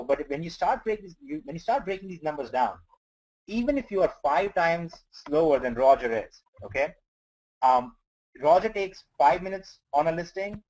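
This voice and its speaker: A man's voice: on the high side, a little mellow, poor audio. A man on a webinar giving earnest, rambling motivational advice to sellers. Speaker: webinar presenter.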